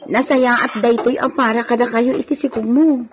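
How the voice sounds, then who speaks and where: An actress with an Ilocano accent playing a timid, fussing maid in a radio drama. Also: female voice, on the high side, fairly even intonation, normal speed, lightly acted. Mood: coaxing. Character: fussing, timid maid tending her pregnant mistress. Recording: slightly noisy radio recording.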